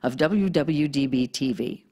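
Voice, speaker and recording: A man reading a station disclaimer in a brisk, formal manner. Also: medium pitch. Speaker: station announcer. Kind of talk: read disclaimer.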